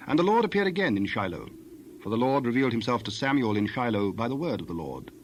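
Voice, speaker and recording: Casting: male scripture narrator, mid-range voice, formal, measured scripted delivery, faint background noise. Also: mid-range voice.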